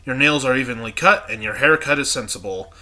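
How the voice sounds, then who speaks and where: A man's voice: medium pitch, a little fast, bright, forceful tone. A man reading a stern student council president. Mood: formal. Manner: formal, inspecting tone. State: congested.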